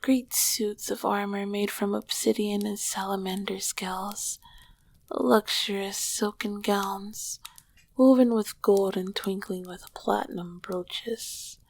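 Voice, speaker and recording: Woman doing ancient demon queen asmr, slow n breathy w lots of fry, regal, lonely, super affectionate, whispery. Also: mid-range voice, fairly even intonation, darkish tone. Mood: wistful, adoring. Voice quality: breathy, often dropping into whisper. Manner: slow, doting, eloquent. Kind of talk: intimate ASMR roleplay.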